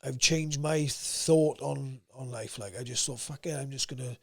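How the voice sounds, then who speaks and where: A young man with a South Wales valleys accent and a gruff voice, talking bluntly and swearing freely as a podcast guest. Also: medium pitch, moderate pace. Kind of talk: casual interview chat.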